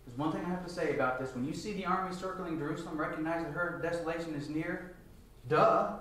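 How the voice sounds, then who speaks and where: A middle-aged man with a fairly high voice delivers a Bible lecture in a very echoey church meeting room. He sounds distant from the microphone and likes to put questions to his listeners and answer them himself. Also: fairly even intonation, quick pace, a bit bright, a little hiss or hum. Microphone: picked up from a distance.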